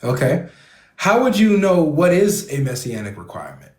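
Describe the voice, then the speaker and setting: A man hosting a live online debate, leaning on one point and circling back to it with question after question. His voice sits a little high for a man and rings in an echoey room. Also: huge pitch swings, normal speed, a little mellow. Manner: probing, presses a point with repeated questions. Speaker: debate host.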